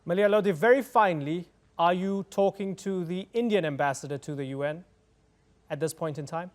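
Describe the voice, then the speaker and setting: A man hosting a news programme, interviewing a guest and reading scripted introductions in a crisp anchor manner. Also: high-pitched, brisk. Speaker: news show host.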